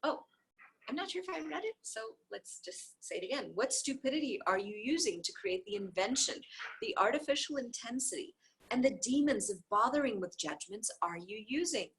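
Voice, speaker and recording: A woman reading a dialogue book aloud, speaker labels included, with repeated phrases in an even, steady cadence. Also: fairly high pitch, moderate pace, slightly rough, poor audio. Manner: occasional soft offhand asides.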